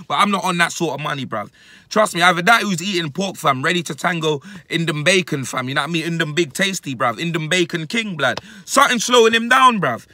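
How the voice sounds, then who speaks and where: A man in his thirties with a London accent, giving an animated, emphatic fan rant to camera in heavy, repetitive street slang. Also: fairly high pitch, dry recording. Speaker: football fan reacting to his club. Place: inside a parked car. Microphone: phone at arm's length.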